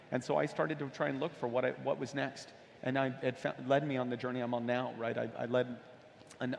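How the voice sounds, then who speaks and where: A man's voice: mid-range voice, creaky. A man from the United States speaking as a panel guest, telling his personal story earnestly and with emphasis. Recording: reverberant hall with background noise.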